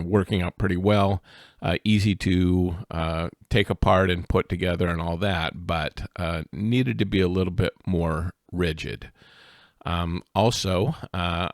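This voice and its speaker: A man's voice: sits low, no room sound, studio quality. A man narrating his own hobby project in a casual how-to style, hesitant and full of filler sounds. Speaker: hobbyist video maker. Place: outdoors in the desert.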